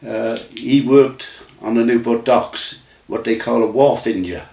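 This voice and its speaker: A man, recalling his memories in a casual, halting way with many restarts. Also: mid-range voice, steady tone, vocal fry, dark tone. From Wales. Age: 90s. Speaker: interview guest. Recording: roomy sound from a distant mic.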